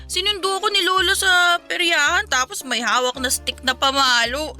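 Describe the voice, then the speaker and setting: A woman's voice: high-pitched, steady tone, moderate pace, pushed and bright. A woman playing a caring young best friend in a radio drama, talking conversationally and scolding fondly. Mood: mock scolding. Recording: faint music behind.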